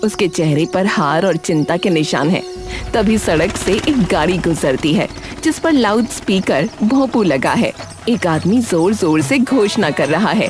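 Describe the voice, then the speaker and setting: A woman's voice: fairly low pitch, animated, unhurried, music in the background. A woman narrating a scripted cartoon story.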